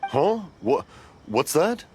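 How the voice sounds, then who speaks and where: Kindly rambling old man, animated delivery. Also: a little high, slow pace, a little hiss or hum. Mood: befuddled. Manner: rambling storyteller, cheerfully befuddled. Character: kindly, rambling, hard-of-hearing old father, fireworks craftsman. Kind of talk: animated video game voice acting. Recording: music behind the voice.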